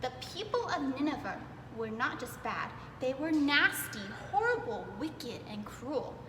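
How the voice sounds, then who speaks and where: A woman reading aloud to young children in a playful, expressive way, dwelling on words for emphasis. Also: high voice, a little slow. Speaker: preschool storytime reader. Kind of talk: animated children's read-aloud. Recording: echoey reverberant room with clear background noise.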